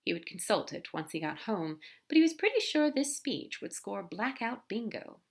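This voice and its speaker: A woman narrating a fan fiction story aloud in a gentle, even storytelling way. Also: mid-range voice, normal speed, vocal fry. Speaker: podfic reader.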